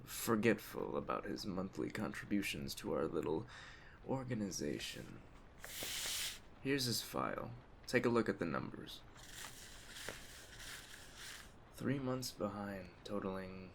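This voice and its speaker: Guy doing a mafia boss asmr thing, really deep crackly voice, polite but menacing, takes his time, bit of storm noise behind. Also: very animated, exaggerated voice. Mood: businesslike, welcoming. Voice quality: gravelly, low. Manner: measured, deliberate, smooth-talking, faintly menacing. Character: mafia Don, calm, courteous, quietly menacing crime boss. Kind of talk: ASMR roleplay addressed to the listener. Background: storm ambience.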